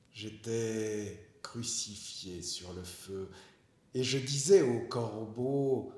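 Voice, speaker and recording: A man with a fairly low voice recites poetry solemnly. His delivery is very slow and deliberate, with pauses between phrases. The recording is roomy and clearly echoing. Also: a little mellow. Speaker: poetry reader.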